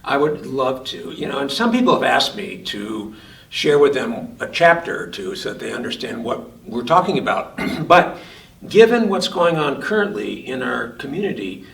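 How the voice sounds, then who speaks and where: A man reads his own written essay aloud, pacing it slowly and deliberately. His voice sits at a middle pitch. The sound comes from some distance off the mic, with a roomy echo around it. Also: expressive, creaky, fairly bright tone. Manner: slow, measured, deliberate reading cadence. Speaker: physician and author.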